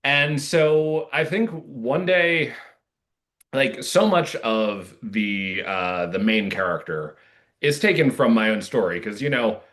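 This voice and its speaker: A man's voice: medium pitch, lively intonation, slow pace, a bit bright. A man talking casually and reflectively as an interviewed playwright, earnest and discursive, with many asides.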